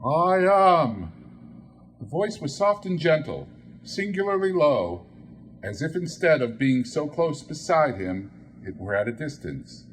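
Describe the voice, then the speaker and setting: A man narrating a classic story as an audiobook reader, in a dramatic, measured and expressive style. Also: on the high side, a little hiss or hum.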